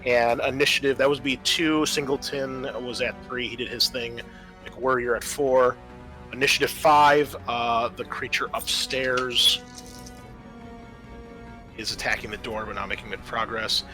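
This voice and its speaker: A man's voice: mid-range voice, fairly even intonation, measured pace, low-quality recording. A man acting as game master, narrating a horror tabletop roleplaying game in an improvised way, mixing storytelling with rules talk and dry asides. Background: background music.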